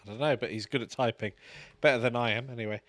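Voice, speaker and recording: An easygoing man of middling pitch, co-hosting a casual live stream. He chats plainly and laughs readily between remarks. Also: fairly even intonation, fast, a bit bright. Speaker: co-host.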